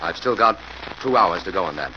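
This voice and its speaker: A man's voice: sits low, expressive, fairly quick. An actor playing a determined, serious male crime-fighting hero in a 1940s radio drama. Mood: grim, determined. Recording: muffled, noisy old radio recording.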